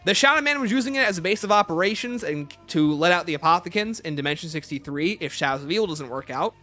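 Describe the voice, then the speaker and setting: A man's voice: sits high, lively intonation, fast, sharp tone, soft background music. A man hosting a livestream, casually reading out questions and answering them with emphatic opinions.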